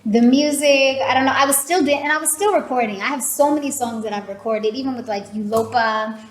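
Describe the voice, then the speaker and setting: A woman's voice: high-pitched, brisk. A woman in her thirties with an American accent, chatting casually as a podcast guest and using lots of fillers. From United States. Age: 30s. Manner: chatty, filler-heavy, laughs easily. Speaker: guest musician. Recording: roomy sound with crowd noise.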